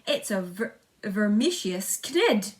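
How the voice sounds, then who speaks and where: A woman voicing a blustering, alarmed police chief in a children's storybook, shouting commands excitedly. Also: medium pitch, takes it slowly. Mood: horrified.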